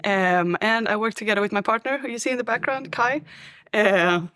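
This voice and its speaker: A woman's voice: a little high, a little fast, a bit bright. A woman in her thirties with a Swedish accent, chatting casually over a video call, full of "like" fillers. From Sweden. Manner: chatty, many "like" fillers, laughs easily. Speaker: app developer, co-host. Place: indoor apartment room by a large window. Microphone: video call webcam.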